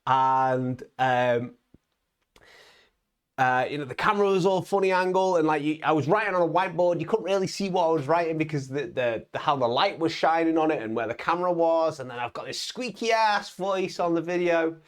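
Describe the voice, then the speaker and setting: A man's voice: fairly high pitch, a little fast, clear, resonant tone. A young man giving a casual motivational talk to his viewers, emphatic, with frequent "right?" tags and stumbling restarts. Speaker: vlogger on online business.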